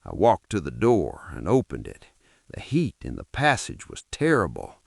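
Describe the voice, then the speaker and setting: A man's voice: low voice, wildly swooping pitch, normal speed, gravelly, a little mellow, breathy edge, dry recording, clean studio sound. A man with a Nigerian accent narrating a folktale dramatically in the first person, as a podcast storyteller.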